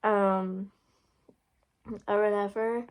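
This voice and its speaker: A woman's voice: medium pitch, flat delivery, very slow. A young adult woman with an Irish accent, chatting casually through a get-to-know-me Q&A, full of "like" and "um" fillers and blunt swearing. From Ireland. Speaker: vlogger. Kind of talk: casual Q&A vlog.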